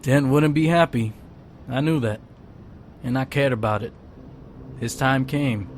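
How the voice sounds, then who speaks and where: A man's voice: medium pitch, unhurried, noisy background, lightly acted. A man playing a hard-boiled henchman who narrates in a brooding, terse, world-weary noir style for a comic-book drama. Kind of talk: noir first-person narration. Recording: loud music and gunfire behind.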